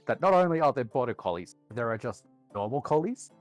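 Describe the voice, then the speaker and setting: A man's voice: medium pitch, sing-song, brisk, faint music behind. A man with an Australian accent, chatting casually as a guest on a group livestream.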